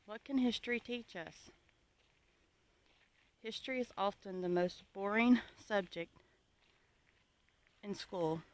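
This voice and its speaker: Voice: medium pitch, slow, pauses often, low-quality recording. A homesteading vlogger from the United States giving an informal, reflective talk to viewers in an earnest, plainspoken, advisory manner.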